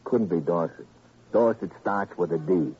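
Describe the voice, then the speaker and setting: A sly, wisecracking flophouse clerk in a vintage radio drama. The voice is lowish, dark and very gravelly, with folksy, uneducated phrasing. The recording is muffled and lo-fi. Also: male voice, measured pace, heavily acted. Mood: evasive. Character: cagey, wisecracking clerk of a cheap flophouse hotel. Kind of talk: old-time radio crime drama acting.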